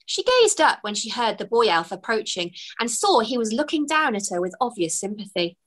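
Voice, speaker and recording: Brief: woman, 30s, author narrating kids' story on a webcam, lively and bright. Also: on the high side, a little fast. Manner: expressive, storytelling. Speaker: author reading her book. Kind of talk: children's read-aloud narration. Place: home room with bookshelves, indoors.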